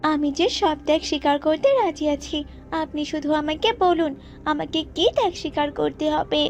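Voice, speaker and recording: A woman's voice: high-pitched, fairly even intonation, a little fast, soft background music. A woman playing a young bird princess in a cartoon, dutiful but brave, earnest and forthright. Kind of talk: cartoon voice acting.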